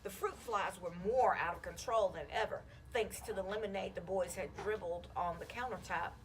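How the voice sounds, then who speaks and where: A woman reads a children's storybook aloud in an expressive, animated way. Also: medium pitch, moderate pace, a little hiss or hum, rough recording. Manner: animated, acting out the children's dialogue. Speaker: school counselor reading a children's book aloud.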